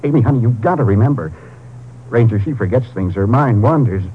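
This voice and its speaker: An actor playing a guilty, desperate husband who pleads and blusters in a 1950s radio drama. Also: male voice, mid-range voice, animated, brisk, slight background noise, lightly acted. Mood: pleading. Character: guilty, desperate husband who plotted against his wife. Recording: muffled vintage radio broadcast recording.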